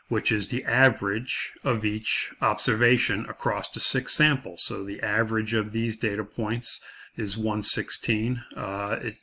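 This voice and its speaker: A man's voice: on the low side, sharp tone. A man giving an informal tutorial as an instructor, explaining step by step and repeating his points. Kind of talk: informal tutorial walkthrough of a spreadsheet.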